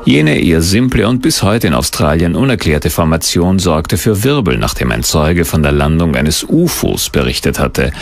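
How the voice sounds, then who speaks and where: A man's voice: deep voice, big pitch swings, normal speed, hardly any pauses, no room sound. A man narrating a documentary from a script in a dramatic, suspenseful manner.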